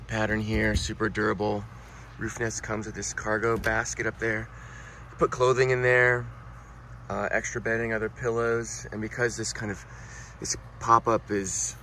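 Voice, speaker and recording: A man's voice: fairly low pitch, slight background noise. A man casually showing and explaining a rooftop tent while working its parts with his hands, filmed outdoors on a handheld phone. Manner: relaxed, explaining while handling gear. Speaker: product reviewer. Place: outdoors beside a car, then inside a rooftop tent.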